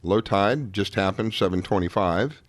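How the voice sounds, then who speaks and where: A man hosting a talk radio show, hoarse after a late night, chatting in a rambling way with many restarts. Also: fairly low pitch, normal speed, dry recording. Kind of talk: casual talk-radio chat.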